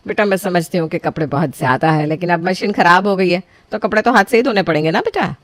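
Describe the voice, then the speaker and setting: A woman's voice: fairly low pitch, quick pace, no echo, close to an everyday voice. A woman voicing a strict, frugal middle-aged mother-in-law who scolds and bosses her new daughter-in-law, in a dry close recording. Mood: bossy. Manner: scolding, bossy, calls everyone beta. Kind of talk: scripted family story voicing.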